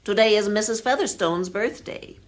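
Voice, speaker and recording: A woman voicing an automated house announcer in an audio drama, reading out reminders in a measured, formal, impersonal way. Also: mid-range voice, a little hiss or hum, lightly acted.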